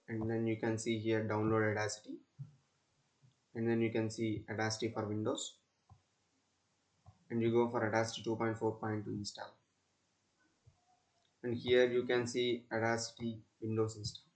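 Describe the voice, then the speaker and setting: A man narrates a step-by-step software tutorial as a student presenter, in halting, repetitive instructional phrases. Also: on the low side.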